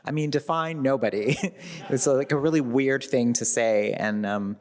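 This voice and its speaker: A man's voice: medium pitch, a little mellow. A man with a Midwestern American accent, a literary translator speaking thoughtfully on a festival panel and laughing lightly as he goes. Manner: thoughtful, laughs lightly, slows down when reading lines aloud. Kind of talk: reflective panel talk. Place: festival event hall. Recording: long hall reverb.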